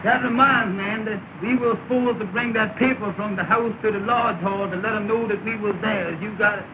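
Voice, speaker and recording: A man's voice: high-pitched, fairly even intonation, a little fast, lightly acted. An actor playing a worried, easygoing working man who speaks in a plaintive drawl with broken dialect grammar, on an old film soundtrack. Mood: fretful. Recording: tinny, hissy 1930 film soundtrack.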